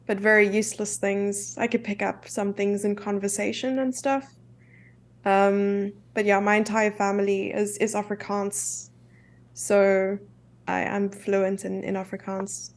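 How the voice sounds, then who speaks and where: South african woman streamer, young, casual chess commentary, a bit of vocal fry. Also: medium pitch, flat delivery. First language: English. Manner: chatty, self-deprecating, quick asides.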